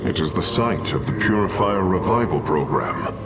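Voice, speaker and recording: Lowish alien technician, loud music behind. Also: male voice, lively intonation, moderate pace. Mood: matter-of-fact. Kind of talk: scripted game cutscene dialogue.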